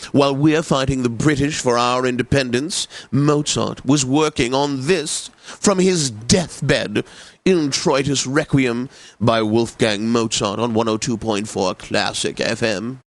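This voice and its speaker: An actor playing a pompous, effete classical radio DJ in a video game, announcing in a theatrical, unctuous, precisely enunciated manner. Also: male voice, medium pitch, no room sound, natural voice.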